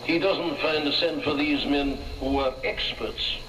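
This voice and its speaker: A man preaching a sermon in a deliberate, rhetorical way, heard over the radio. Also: on the high side. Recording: echoey noisy radio played in room.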